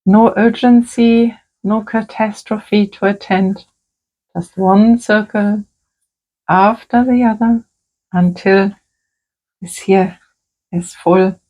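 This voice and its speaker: A woman working as a creative therapist, talking gently and softly through a calm crafting tutorial. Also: medium pitch, rough recording. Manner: gentle, soft-spoken, unhurried.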